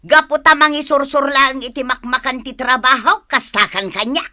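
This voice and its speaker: A woman's voice: sits high, normal speed, sharp tone. A woman playing a hardworking, aggrieved village wife who sells snacks in a radio drama, emphatic and plaintive, with a northern Philippine accent. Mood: angry.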